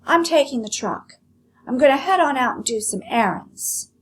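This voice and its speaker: A loud, domineering storybook woman, acted out by a female reader, pitched high and shouting with scorn. She bullies everyone around her, and faint noise sits behind the voice. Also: animated, normal speed, rough recording. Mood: brash shouting. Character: lumpy, brash, bullying woman who shouts.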